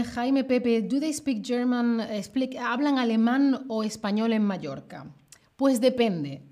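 Woman teaching live, fairly high voice, chatty and expressive, slows down to spell things out. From Spain, Seville. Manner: lively and expressive, slows down to spell out words clearly. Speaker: Spanish teacher streaming. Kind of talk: live-stream Spanish lesson, chatting with viewers.

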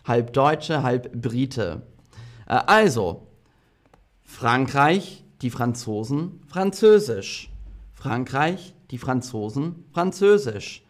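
Very slow, deliberate male language teacher. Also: mid-range voice, expressive. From half German, half British. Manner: slow, deliberate, repeats words for learners. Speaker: German teacher. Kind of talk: slow classroom language lesson.

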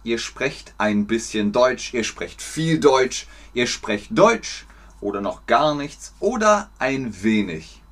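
A man teaching German in a live online lesson, encouraging and clearly articulated. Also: mid-range voice, sing-song, slow pace, a bit bright.

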